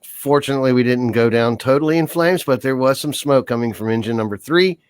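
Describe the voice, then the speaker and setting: An older man hosting a live podcast, with an easygoing, rambling way of talking full of fillers, his voice a little hoarse. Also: medium pitch, brisk, dry recording, clean studio sound. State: hoarse, voice giving out. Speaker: podcast host. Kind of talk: casual live podcast chat.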